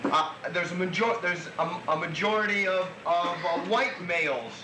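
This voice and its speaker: High-voiced man arguing bluntly in a roomy hall. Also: normal speed. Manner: blunt, profane, rambling with restarts. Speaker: co-op member at a meeting. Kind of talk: heated meeting debate. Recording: echoey room, noisy old tape.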